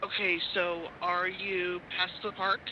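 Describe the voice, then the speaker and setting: A woman's voice: fairly low pitch, level tone, a little slow, dull audio. A woman chatting casually over a walkie-talkie as she helps with a test. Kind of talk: casual chat. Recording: noisy walkie-talkie link, distant.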